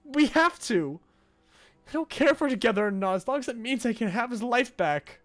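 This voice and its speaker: Man voicing a high, desperate anime young woman. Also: animated, a little fast, fairly bright tone, soft background music. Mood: desperate, defiant. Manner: emphatic, pleading. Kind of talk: visual novel dialogue read aloud.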